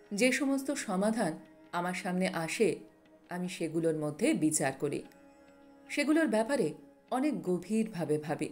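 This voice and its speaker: A woman voicing a wise, calm male monk who counsels earnestly in a storybook narration. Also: medium pitch, natural voice.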